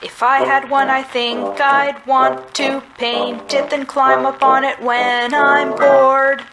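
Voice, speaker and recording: Woman playing a high-voiced, wry androgynous detective. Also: little pitch movement, slow, vocal fry, exaggerated voice, low-quality recording. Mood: musing. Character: wry, down-on-their-luck androgynous private detective. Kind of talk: musical detective adventure game acting, part sung. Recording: loud music behind voice. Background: loud music.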